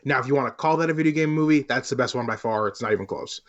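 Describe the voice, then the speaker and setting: Male podcast host, mid-pitched, measured and conversational, slightly dull lo-fi audio. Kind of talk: casual podcast discussion.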